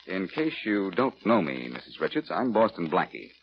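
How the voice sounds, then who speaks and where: Deep-voiced tough radio detective. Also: male voice, sing-song, normal speed. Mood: cool menace. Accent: American. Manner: wry, clipped, commanding. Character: cool, tough, wisecracking amateur detective. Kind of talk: 1940s radio detective drama acting. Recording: dull old radio recording, faint noise.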